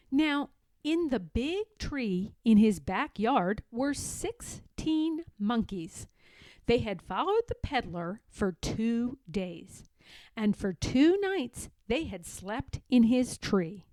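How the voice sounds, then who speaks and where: A woman narrating a children's storybook aloud in an expressive, deliberate way. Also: on the high side, no echo.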